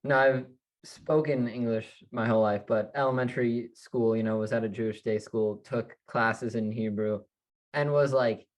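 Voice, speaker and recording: A young man hosting an interview, asking relaxed questions full of "you know" and "like". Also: mid-range voice, normal speed, low-quality recording. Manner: relaxed, filler-heavy questions. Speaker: interview host. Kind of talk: casual interview chat.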